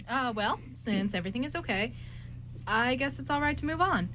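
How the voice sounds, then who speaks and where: Woman as friendly groom, high pitch, hesitant, awkward, amateur radio drama, faint noise. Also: expressive, sharp tone, poor audio. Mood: upbeat, awkward. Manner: hesitant, halting with ums. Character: awkward, eager, friendly young groom, male.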